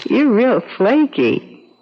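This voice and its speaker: Brash tough dame, old radio. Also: female voice, a little high, exaggerated voice. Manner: brash, slangy, pushy. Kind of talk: 1970s radio drama acting. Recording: muffled 1970s radio broadcast recording.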